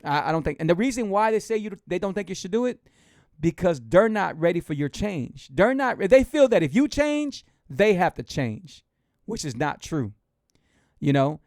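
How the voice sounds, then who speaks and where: A man's voice: high voice, normal speed, no echo, studio quality. A man nearing fifty gives casual, rambling motivational talk to his live viewers, repeating himself and urging them on. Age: 40s. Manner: rambling, repetitive, animated and encouraging. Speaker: motivational speaker.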